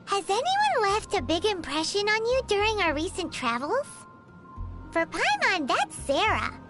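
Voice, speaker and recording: A woman voicing a tiny, childish, cheeky fairy companion in a video game, with a squeaky voice. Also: huge pitch swings, measured pace, music in the background, full character voice. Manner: refers to self in third person, whiny, exclaiming. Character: tiny floating fairy girl companion, childish, cheeky, greedy, food-loving. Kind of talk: video game character acting.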